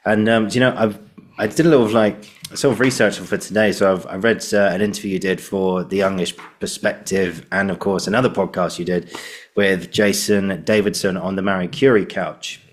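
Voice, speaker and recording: Guy with a low voice doing a podcast interview, earnest, rambles and stops and starts a bit. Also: steady tone, moderate pace. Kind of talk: casual interview chat.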